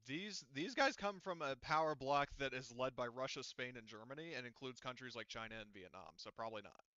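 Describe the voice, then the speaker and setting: A man's voice: medium pitch, fairly quick, pushed and bright, smooth, no echo, dull audio. A man speaking informally as game master of a tabletop roleplaying game.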